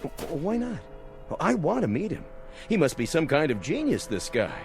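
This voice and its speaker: An actor playing a mocking, domineering military major in a radio drama, with a sarcastic, slangy, order-barking delivery. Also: male voice, fairly high pitch, huge pitch swings, normal speed, creaky, music in the background, full character voice. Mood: brisk, commanding.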